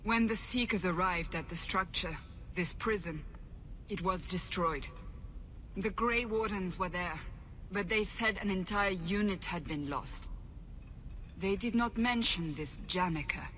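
A woman's voice: lowish voice, a little slow, fairly bright tone, slight background noise, rough recording. An actress playing a stern, suspicious armoured inquisitor in a video game, clipped and commanding in her questioning. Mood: grave, probing. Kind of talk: dramatic video game dialogue.

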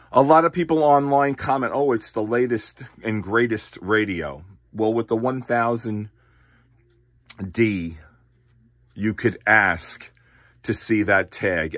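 A man talks in a slow, methodical way, walking through the details one step at a time with a few fillers. His voice sits a little low, and the sound is dry and close with no room echo. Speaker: ham radio channel host. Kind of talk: casual show-and-tell explanation. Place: home radio shack full of equipment.

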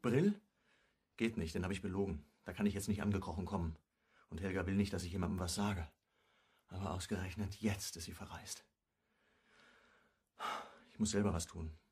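Low, husky hunted sleuth. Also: male voice, normal speed, long pauses, darkish tone, dry recording, clean studio sound. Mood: anxious brooding. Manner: clipped, brooding, first-person telling. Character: hunted, wry amateur sleuth narrating his own story. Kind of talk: German radio crime drama narration and acting.

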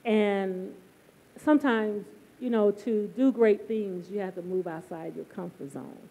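A woman from the United States with a soft-edged, mellow voice at a middle pitch. She answers as a founder on a live panel, speaking in a measured, reflective way. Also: vocal fry, slightly rough, poor audio. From Tulsa, United States. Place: stage of an auditorium, indoors. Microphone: handheld microphone.

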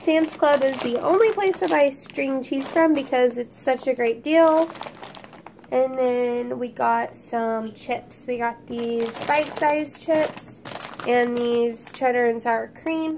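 Congested, high-voiced Oklahoma mom. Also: female voice, unhurried, darkish tone, slight background noise, poor audio. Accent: Oklahoma Southern US. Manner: chatty, homey, listing items. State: congested, sniffly, crackly voice. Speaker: YouTube vlogger, mom. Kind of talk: casual grocery haul narration.

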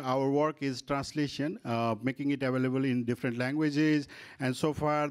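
Nepali guy moderating a panel, handheld mic, lots of uhs and restarts, english not his first language. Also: mid-range voice. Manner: halting, frequent fillers and restarts. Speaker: workshop moderator. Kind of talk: conference panel presentation. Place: conference room with panel table. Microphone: handheld microphone.

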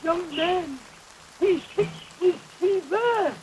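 A man's voice: very high-pitched, slow pace. An older man acting a grave, fearful old witch doctor in a 1930s horror film, solemn and ominous. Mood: grave warning. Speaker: film actor. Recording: noisy 1930s film soundtrack under rain. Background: rain storm.

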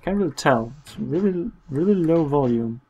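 A man giving casual, sarcastic and playful commentary on a horror game, swearing and reading game text aloud between quips, with a husky voice. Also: a little high, animated, normal speed, a little mellow, lo-fi sound. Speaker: let's play commentator.

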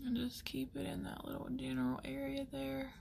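A woman with a Southern US accent chatting casually through a makeup tutorial, folksy and rambling as she thinks out loud. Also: sits high, completely flat delivery, normal speed, gravelly, slight background noise. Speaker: makeup artist hosting a tutorial.

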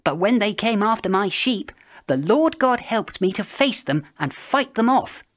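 A man's voice: high-pitched, sing-song, a little slow, fairly bright tone, pitched above the performer's own voice, full character voice. A man voicing a young, brave shepherd boy who speaks up earnestly and with spirit in a storybook tale.

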